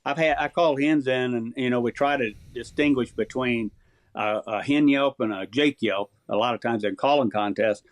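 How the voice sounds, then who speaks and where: A plainspoken man talks easily about his craft in a casual podcast chat. His voice sits in a middle pitch, recorded close and dry. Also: normal speed, no room sound. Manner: easygoing, plainspoken, chuckles often. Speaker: champion turkey caller, guest.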